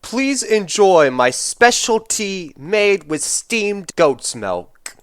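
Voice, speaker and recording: Male actor for a cartoon schemer: slow, measured, smugly polite. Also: sits high, very animated, a bit bright, faint music behind, full character voice. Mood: smug formal. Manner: overly formal, smug, measured. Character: scheming, smug adult male secret agent posing as barista. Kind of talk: fan comic dub voice acting.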